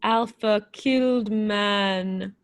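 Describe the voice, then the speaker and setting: Woman acting living machine man, slow, flat, clipped, blunt, menacing, radio play. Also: medium pitch. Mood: flat, matter-of-fact.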